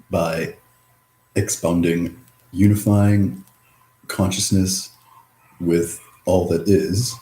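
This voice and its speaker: A man giving a rambling, reflective vlog monologue, mumbling and halting, with drifting pauses between phrases. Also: sits low, warm tone. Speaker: vlogger. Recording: roomy echo.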